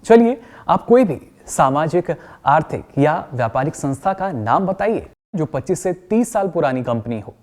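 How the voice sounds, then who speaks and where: An encouraging male trainer played by an actor leads a workshop. His pitch moves in a lively way as he patiently explains points and puts questions to the group, in the manner of a training film. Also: normal speed. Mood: instructive. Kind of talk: scripted training-session lecture with questions to the group.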